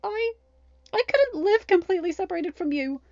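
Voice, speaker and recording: Lady doing shy meek teen girl, high soft voice, pleading, dry recording. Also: expressive, normal speed, no echo, muffled recording. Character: meek, gentle teenage girl.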